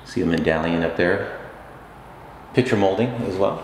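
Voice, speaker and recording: Deep-voiced man touring an echoey empty flat. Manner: halting, with frequent uh fillers and restarts. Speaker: rental agent giving a walkthrough. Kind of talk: unscripted property tour narration. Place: empty apartment, indoors, walking room to room. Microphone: camera mic on a handheld camera. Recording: echoey empty rooms with background noise.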